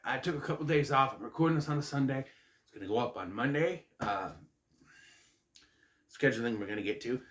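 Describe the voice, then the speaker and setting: A man hosting his own wrestling review vlog, rambling casually while getting over a cold, a bit nasal and clearing his throat. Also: a little fast, long pauses. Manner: chatty, self-interrupting, lively pitch swings. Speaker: host of a wrestling review channel. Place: his room at home. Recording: echoey room.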